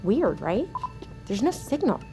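An actress playing a quirky, excitable woman trapped underground in an audio drama, with a wry, melodramatic way of talking. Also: medium pitch, measured pace, over music, low-quality recording. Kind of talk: naturalistic audio drama acting.

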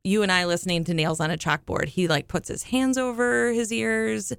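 A woman's voice: medium pitch, fairly even intonation, normal speed, gravelly, smooth, no echo, clean studio sound. A woman co-hosting a casual podcast, laughing often and rambling earnestly. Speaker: podcast co-host. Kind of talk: casual chat.

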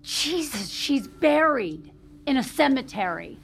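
A woman's voice: sits high, very animated, slow pace, gravelly, heavily acted. An actress playing a guarded, strained wife and mother in naturalistic audio drama, speaking conversationally with some hesitancy. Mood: defensive.

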